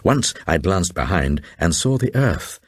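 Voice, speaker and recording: An actor playing a reclusive, haunted old man who gravely reads out his uncanny diary in a gothic radio drama, with a gravelly, husky voice. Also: low voice, very animated, no echo, heavily acted. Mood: awed, uneasy. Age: older. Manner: grave, brooding, deliberate.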